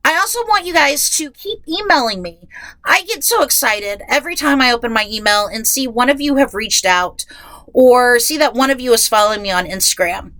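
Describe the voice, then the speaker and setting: Female podcast host, highish bright voice, nasal from a head cold, casual and rambling, self-deprecating. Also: lively intonation, fairly quick, no room sound, low-quality recording. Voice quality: nasal, stuffed-up. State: getting over a head cold. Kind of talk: casual, chatty solo podcast. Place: inside a closet at home.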